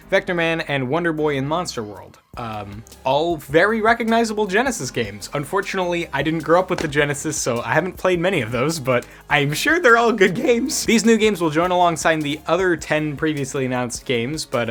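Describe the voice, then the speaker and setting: A man's voice: a little high, a bit bright. A man hosting a gaming news show in a casual, conversational way, dropping wry asides as he goes.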